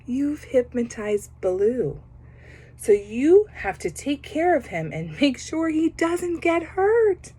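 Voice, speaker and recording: A woman's voice: high-pitched, very animated, slow, dark tone, voice pushed up, heavily acted. A woman voicing a playful, scheming young monkey prince from a children's storybook, in an accusing, bossy manner. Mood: mock blaming.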